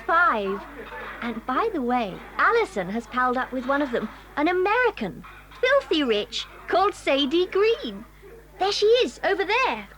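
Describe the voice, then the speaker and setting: A friendly English boarding-school girl in a children's radio play. The voice is high and swoops with eager, exclamatory gossip. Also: very animated, measured pace, fairly bright tone, full character voice, rough recording. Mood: eager, gossipy. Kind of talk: children's audio drama acting.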